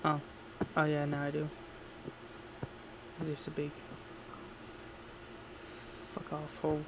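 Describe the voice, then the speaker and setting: A man's voice: a little high, monotone, takes it slowly, noisy background. A man giving casual gameplay commentary in an offhand way, muttering to himself as he records an audio log. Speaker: gamer recording audio logs.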